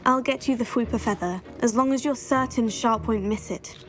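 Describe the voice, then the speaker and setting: A woman's voice: a little high, normal speed, music in the background, natural voice. A woman acting a polite, composed teenage student witch in a video game. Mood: agreeable. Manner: courteous, composed.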